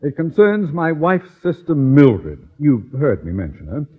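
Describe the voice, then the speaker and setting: Pompous older principal, tinny old radio. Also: male voice, on the high side, huge pitch swings, unhurried, breathy edge, heavily acted. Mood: smug good humor. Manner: pompous, sputtering, stuffy. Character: blustery, pompous older male school principal. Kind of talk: 1950s radio comedy acting. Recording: tinny old radio recording, loud studio audience.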